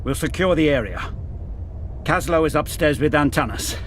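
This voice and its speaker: An actor playing a stern, dutiful soldier in a fantasy game, speaking formally with proud disapproval. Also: male voice, fairly high pitch, lively intonation, normal speed, a little hiss or hum, lightly acted. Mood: brisk, guarded. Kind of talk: fantasy game dialogue acting.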